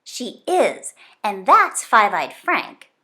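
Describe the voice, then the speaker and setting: A woman's voice: mid-range voice, sing-song, takes it slowly, sharp tone, exaggerated voice. A woman voicing an anxious, eager young boy in a children's storybook. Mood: excited, insistent. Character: young boy narrator, anxious, eager space-show fan.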